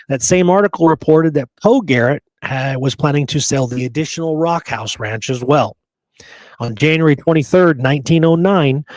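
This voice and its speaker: A man's voice: on the high side, darkish tone, no room sound. A man hosting a casual podcast, recounting history in a colloquial, emphatic way and often checking that the listener follows. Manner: colloquial, emphatic, punctuates points with check-in questions. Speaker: podcast host and history researcher.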